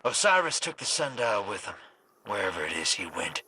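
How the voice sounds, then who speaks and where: An actor playing a brash, sarcastic rogue in a video game, irreverent and quick to irritation. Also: male voice, fairly low pitch, very animated, pushed and bright, slight background noise. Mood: matter-of-fact. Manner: wisecracking, irreverent. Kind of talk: video game cutscene voice acting.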